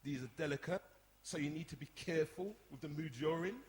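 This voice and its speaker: A man's voice: a little high, fairly quick, slight background noise, lightly acted. A man playing an exasperated, outspoken young older brother in a radio drama, chiding and emphatic. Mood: needling. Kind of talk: radio soap acting before a live audience. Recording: echoey hall, long reverb.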